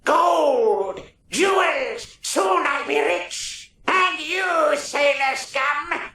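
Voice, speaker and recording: A shrill old sea witch, acted out by a male reader, gloats greedily in a very echoey space. The villain shrieks in slow, drawn-out storybook menace with a creaky edge. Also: lively intonation, bright, forceful tone, shouting, exaggerated voice. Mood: gloating menace. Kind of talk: children's storybook reading. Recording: echoey, distant, faintly noisy old recording.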